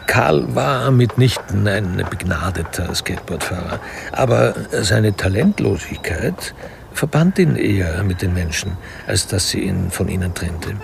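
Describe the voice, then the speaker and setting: A man narrating a radio crime drama in a dry, measured, wry literary style. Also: sits low, animated, vocal fry, background noise, heavily acted.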